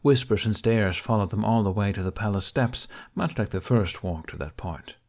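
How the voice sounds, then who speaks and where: A man reading fiction as an audiobook narrator, with expressive, dramatic storytelling. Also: lowish voice, moderate pace.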